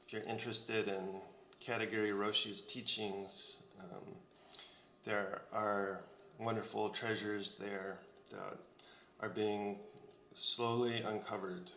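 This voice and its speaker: Slow, gravelly man in an echoey room. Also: lowish voice, flat delivery, pushed and bright, slight background noise. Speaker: host of the Sunday talk. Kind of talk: introducing the guest speaker.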